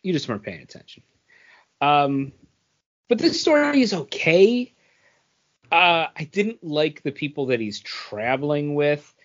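A man's voice: fairly high pitch, very animated, a little slow, pauses often, highs cut off. A man co-hosting a podcast, chatting casually and bluntly about what he has read, with some casual swearing. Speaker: podcast co-host reviewing comics. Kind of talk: casual chat.

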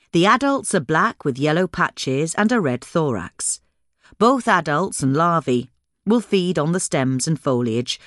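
Lady reading a how-to guide, lowish voice, really varied intonation, clear and measured, dry studio sound. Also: no echo. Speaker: reader of a gardening guide. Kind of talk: scripted instructional narration.

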